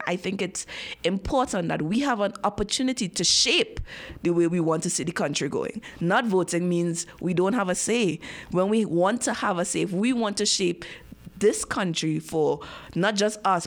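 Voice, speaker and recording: A woman speaks in a lowish voice with some vocal fry. She explains her views thoughtfully and at a measured pace as a radio studio guest. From Trinidad. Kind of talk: casual interview talk.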